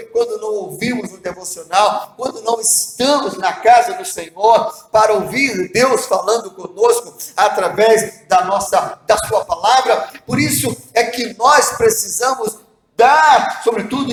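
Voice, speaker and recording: A high-voiced man preaches slowly and deliberately, leaning on earnest rhetorical questions to his listeners. His voice rings out in an echoey church room. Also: a bit bright. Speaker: pastor. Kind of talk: devotional sermon. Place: church hall.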